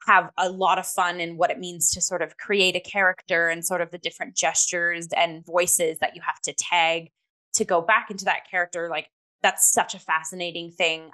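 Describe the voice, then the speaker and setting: Young female talk host. Lowish, forward, bright tone; chatty, enthusiastic and emphatic review style. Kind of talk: casual review chat.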